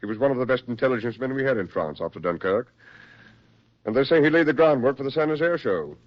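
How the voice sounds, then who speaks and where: A man acting a brisk, persuasive military psychiatrist with genteel, insistent delivery in a 1940s radio drama. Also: medium pitch, lively intonation, lightly acted. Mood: grave, weary concern. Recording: muffled 1940s radio recording.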